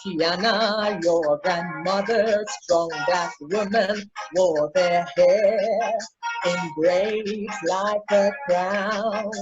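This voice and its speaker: A woman's voice: mid-range voice, very slow. A woman performing a children's sing-along, chanting rhythmically. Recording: dull sound under clear music. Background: music.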